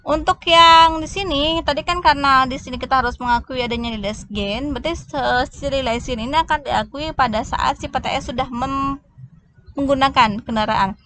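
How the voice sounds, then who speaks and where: A woman giving a step-by-step explanatory lesson as a lecturer, in a halting way, often restarting words. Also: high-pitched, bright, forceful tone. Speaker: accounting lecturer. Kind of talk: explanatory lesson walking through worked examples. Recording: noticeable background noise.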